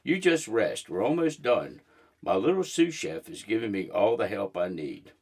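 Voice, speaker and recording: Man reading as a proud, husky-voiced storybook mom. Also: fairly low pitch, big pitch swings, moderate pace, darkish tone, full character voice. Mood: warm, proud. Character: warm, proud mother hosting family dinner. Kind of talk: storybook read-aloud.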